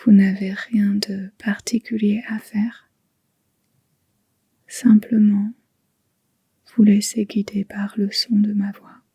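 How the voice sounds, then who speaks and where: A woman guiding a yoga nidra relaxation in a soft, breathy near-whisper, gentle and soothing, with long unhurried pauses. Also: mid-range voice, level tone, no echo. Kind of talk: guided relaxation meditation.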